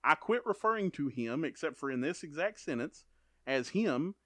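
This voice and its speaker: Young man, animated storyteller, slightly higher voice, dry close sound. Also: normal speed, no echo. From South Kentucky. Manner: animated storytelling, acts out quotes. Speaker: podcast co-host. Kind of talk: casual chat.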